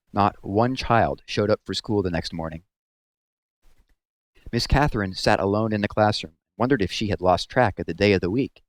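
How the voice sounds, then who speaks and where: A man narrating a story aloud in a measured, serious storytelling manner. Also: lowish voice, no echo.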